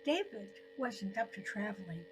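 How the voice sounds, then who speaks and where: An elderly woman narrating her own scripted personal story in deliberate phrases with frequent pauses. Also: medium pitch, lively intonation, over music, low-quality recording. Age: 70s or older.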